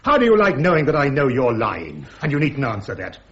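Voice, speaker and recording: A man playing a defiant, sharp British army major with a clipped, ironic English manner in a radio drama. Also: medium pitch, sing-song, a little fast. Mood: cutting, insistent. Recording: dull, muffled radio drama recording.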